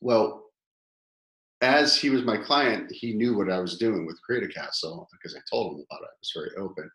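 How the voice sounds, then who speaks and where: Man, fifties, very low voice, chatty storyteller full of fillers; echoey room. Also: normal speed. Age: 50s. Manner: animated, rambling storyteller with many fillers. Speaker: guest, toy company founder. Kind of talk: casual interview storytelling.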